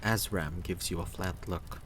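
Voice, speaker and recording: A man's voice: sits low, steady tone, unhurried, noisy background. A man reading scripted second-person story narration aloud, as the narrator of a game.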